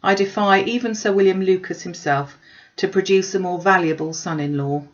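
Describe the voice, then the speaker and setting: A woman voicing a wry, grumbling older gentleman father in a classic novel, sardonic and emphatic. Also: lowish voice, moderate pace, dull audio, lightly acted. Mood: mock pride. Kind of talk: classic novel read-aloud.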